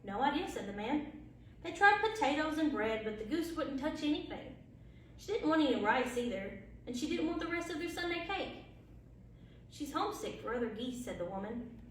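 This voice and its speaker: A woman reads a children's bedtime story in a high, lively voice that moves up and down expressively. She sounds some way from the microphone in a very echoey room, telling it as a storyteller would. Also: normal speed. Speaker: library storyteller. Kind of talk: bedtime story read aloud. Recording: very echoey, distant, faint background noise.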